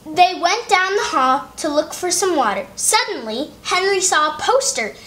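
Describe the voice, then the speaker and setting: Children's storybook host, female, notably high voice, read-aloud narration. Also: animated, normal speed, a bit bright.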